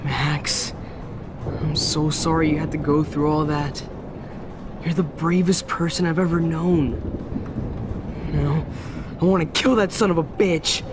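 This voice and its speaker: A nerdy young man played by an actor talks casually and earnestly with a wry edge. He is a loyal friend in a game drama, and storm noise is clearly audible behind him. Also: on the high side, steady tone, unhurried, long pauses, close to an everyday voice. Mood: sympathetic, angry. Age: young adult. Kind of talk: dramatic video game acting.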